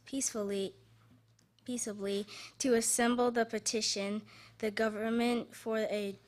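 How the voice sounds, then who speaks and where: A woman with a slightly high voice reads a formal text aloud. She goes very slowly and haltingly, stumbling over words. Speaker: reader. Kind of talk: reading a legal text aloud at a public event.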